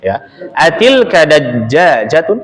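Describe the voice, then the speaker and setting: A young man teaching an Arabic lesson, chatty and relaxed, laughing often and reading phrases slowly for students to repeat. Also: fairly high pitch, crowd noise. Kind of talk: casual online Arabic lesson, reading aloud for repetition. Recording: long reverberant echo.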